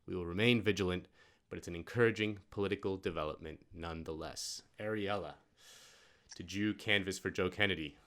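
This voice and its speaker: Male host, very low voice, blunt and wry, casual political banter. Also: fairly quick, a bit bright. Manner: wry, blunt, laughs often.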